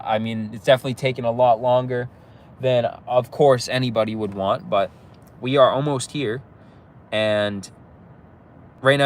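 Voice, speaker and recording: A young man with a slight cold hosts a casual live stream outdoors on his phone, rambling and laughing easily with viewers. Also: mid-range voice. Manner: laid-back, rambling, full of "like" and "bro", laughs easily. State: has a slight cold, sniffly. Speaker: YouTuber hosting a live stream. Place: outdoors, across the street from a police station.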